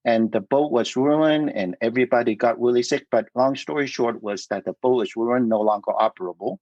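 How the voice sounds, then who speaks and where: Middle-aged interview guest from Vietnam, Cantonese first language, hesitant and fillers-heavy, muffled dry audio. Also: male voice, medium pitch, darkish tone, no echo. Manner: halting, many fillers and restarts, light laughs. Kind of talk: casual interview storytelling.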